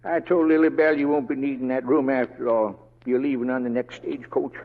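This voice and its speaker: An actor playing an excitable, blustery Western town sheriff with a raspy voice and folksy drawl in an old radio drama. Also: male voice, medium pitch, a little fast, lightly acted. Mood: dejected, scolding. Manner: folksy frontier drawl, exclamatory. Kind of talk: 1930s-40s radio acting. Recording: muffled old radio broadcast, audience noise.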